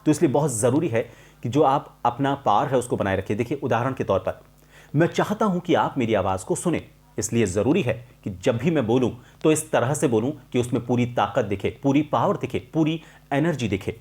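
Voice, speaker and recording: A man presenting an instructional tutorial as a voice coach, explaining in a measured, emphatic way and repeating key points. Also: mid-range voice, animated, darkish tone.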